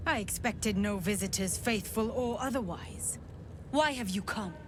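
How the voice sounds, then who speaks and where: A woman's voice: medium pitch, a little slow, background noise, lightly acted. A woman voicing a hostile, scornful alien warrior guard who barks curt orders in a fantasy game. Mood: alarmed, hostile. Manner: barking, imperious, curt.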